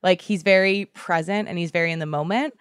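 A woman's voice: lowish voice, a little fast, clean tone, no room sound, clean studio sound. A woman co-hosting a podcast, chatty and self-deprecating, laughing often. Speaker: podcast co-host. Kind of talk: casual chat.

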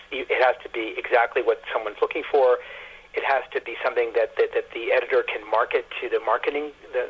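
A man speaking as an author guest in a radio interview, haltingly, often repeating words as he thinks. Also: mid-range voice, husky. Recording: muffled lo-fi phone-like line.

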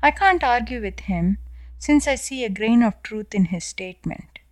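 A woman in her thirties from South India with an Indian accent, reading her own scripted personal essay in a measured, earnest way. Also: fairly high pitch, lively intonation, dry recording. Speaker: podcast essayist. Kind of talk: first-person scripted narration.

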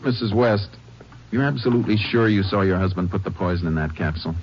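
An actor playing a calm, methodical Texas Ranger who questions politely and evenly in an old-time radio western. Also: male voice, deep voice, fast, crowd noise. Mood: calm, businesslike. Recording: muffled, noisy vintage radio recording.

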